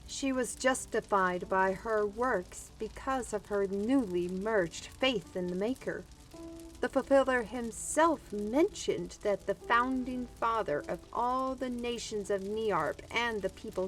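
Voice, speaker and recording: A woman playing an earnest, defiant human in a fantasy audio drama, speaking formally and deliberately. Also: medium pitch, close to an everyday voice, low-quality recording. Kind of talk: fantasy audio roleplay acting.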